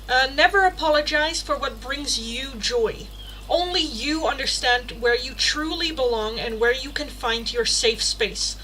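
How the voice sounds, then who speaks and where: A woman's voice: high voice, background noise. A young Dutch woman with a Dutch accent, chatting casually on a livestream and reading oracle cards aloud. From Netherlands. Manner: chatty, laughs often, swears casually, reads card text aloud. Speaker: tarot reader hosting a livestream.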